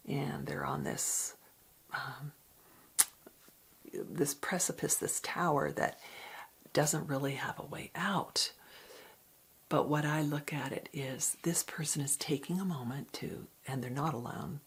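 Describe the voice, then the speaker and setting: A woman giving an ASMR card reading in a soft, breathy whisper, with a gentle, reflective, unhurried manner. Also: rumbling low. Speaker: card reader.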